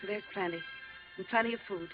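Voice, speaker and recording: A woman's voice: mid-range voice, brisk, natural voice. Actress as wary young mother, old radio sound. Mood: guarded calm. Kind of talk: 1950s radio drama acting. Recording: dull 1950s radio recording, faint music.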